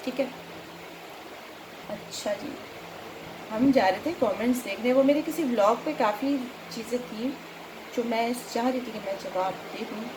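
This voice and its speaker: A woman's voice: a little high, unhurried, pauses often. A middle-aged Pakistani woman chatting casually and instructively to viewers as a voice-over trainer, mixing in English words. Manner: conversational, instructive, code-mixes English words. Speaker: voice-over artist and trainer, host. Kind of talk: casual explanatory chat to viewers. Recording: clearly noisy background.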